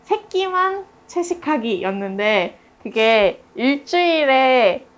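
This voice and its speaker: A woman with a fairly high voice leads a relaxed group conversation. She talks in a chatty, storytelling way, as if among colleagues she knows well. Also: slow pace. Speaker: interviewer and project member leading the discussion. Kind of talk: casual group discussion.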